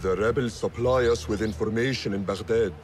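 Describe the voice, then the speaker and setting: An actor playing a composed, resolute leader of hidden assassins in a video game. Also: male voice, on the low side, fairly even intonation, fairly quick, a little mellow, faint music behind, close to an everyday voice. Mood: composed, wry. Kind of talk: dramatic video game acting.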